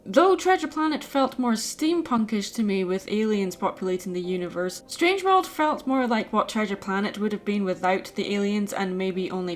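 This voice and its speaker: A woman giving opinionated film commentary as a video essayist, conversational with exclamatory asides. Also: medium pitch.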